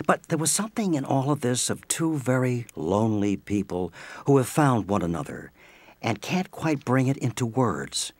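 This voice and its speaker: A man narrating an audio play from a script as an expressive storyteller. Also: on the low side, dry recording, heavily acted.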